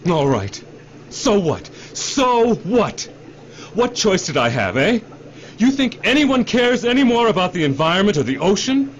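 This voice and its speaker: A man's voice: high-pitched, big pitch swings, measured pace. An actor in a radio drama playing a glib, defensive middle-aged executive who cajoles and repeats himself like a salesman. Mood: angry, defiant. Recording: dull radio drama recording, faint noise.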